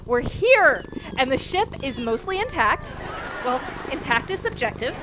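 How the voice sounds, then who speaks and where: Childlike bubbly crew girl, high n swoopy, gushing, radio comedy. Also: very animated, unhurried. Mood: chirpy. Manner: gushing, exuberant. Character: bubbly, childlike, overexcited female space crew member. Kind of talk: sci-fi comedy radio drama acting. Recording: clear background noise.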